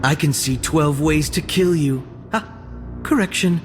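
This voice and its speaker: A man voicing a cold, arrogant young assassin warrior in game voice lines, calm and cutting. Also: fairly high pitch, unhurried, natural voice. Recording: game audio over music.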